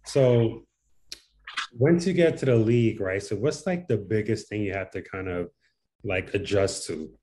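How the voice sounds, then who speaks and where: A man with a lowish, mellow voice hosts a video-call interview. He asks friendly, easygoing questions in a loose, chatty way. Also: a little slow, slight background noise. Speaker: interview host.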